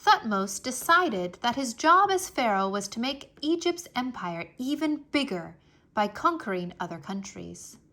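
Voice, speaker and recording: A woman reading a history book aloud for children in an expressive, deliberate storytelling manner. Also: a little high. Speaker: read-aloud narrator.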